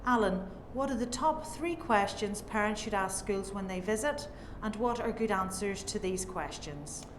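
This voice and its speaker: A woman asks clear, direct interview questions at a middle pitch. Her voice rings with room echo, and clear background noise sits under it. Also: expressive, moderate pace, hardly any pauses.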